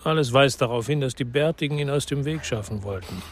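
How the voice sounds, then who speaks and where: A world-weary former policeman, played by a male actor tells his own story in a crime radio drama. His voice sits at a medium male pitch. The delivery is measured, reflective and restrained, with a brooding undertone. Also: natural voice. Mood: matter-of-fact, wary. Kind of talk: literary crime drama narration and dialogue.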